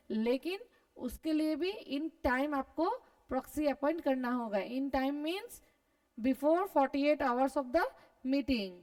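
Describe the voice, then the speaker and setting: A woman's voice: sits high, a little mellow. A woman with an Indian accent teaching a class, switching between Hindi and English, dictating and then explaining by repeating points and answering her own questions. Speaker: teacher of company law classes. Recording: voice distant from the microphone.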